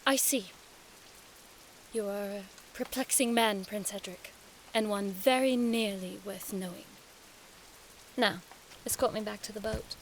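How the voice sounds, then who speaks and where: A woman's voice: mid-range voice, measured pace, long pauses, slight background noise, lightly acted, low-quality recording. A woman playing a sarcastic, defiant young noblewoman explorer in an audio drama, with a haughty, theatrical manner. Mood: probing, cool.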